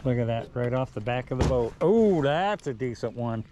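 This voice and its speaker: A man's voice: medium pitch, lively intonation, normal speed. A man fishing from a small boat on a lake, making dry laid-back remarks about his catches. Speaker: channel host, angler. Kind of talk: casual chat while fishing. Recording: outdoor wind and water noise.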